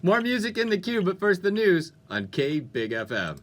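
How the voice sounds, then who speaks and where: A man's voice: high voice, very animated, moderate pace. A man hosting a music radio show with upbeat, playful, conversational DJ patter. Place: radio studio.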